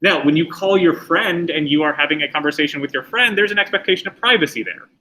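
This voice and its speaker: A man's voice: on the high side, quick pace, bright, forceful tone, a little hiss or hum, low-quality recording. A middle-aged man teaching a class, lecturing in a conversational way and putting questions to the students.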